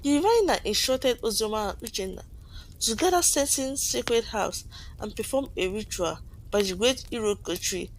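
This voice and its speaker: A woman's voice: fairly high pitch, lively intonation, normal speed, low-quality recording. A woman reading a scripted folktale aloud as a storyteller, in a dramatic manner with the odd stumble over a phrase.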